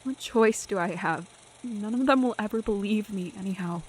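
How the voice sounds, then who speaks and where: A woman's voice: mid-range voice, normal speed, slight background noise, close to an everyday voice, rough recording. A young woman playing an anxious, defiant divination student in an audio drama, speaking earnestly with a faltering, wounded delivery. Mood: despondent, anxious.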